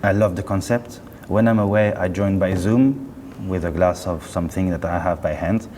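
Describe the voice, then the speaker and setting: Male company spokesman, deep-ish voice, conversational and self-correcting, recorded in a noisy reverberant show space. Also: mellow. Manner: chatty, halting and self-correcting. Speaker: company representative being interviewed. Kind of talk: casual interview chat. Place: indoor hi-fi show. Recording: roomy hall, clear background noise.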